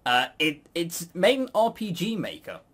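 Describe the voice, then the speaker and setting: A man's voice: on the high side, huge pitch swings, moderate pace, a bit bright, husky. A man hosting a casual chat about games, with a sarcastic, mock-grumbling manner. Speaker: podcast host. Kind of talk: casual chat reviewing visual novels.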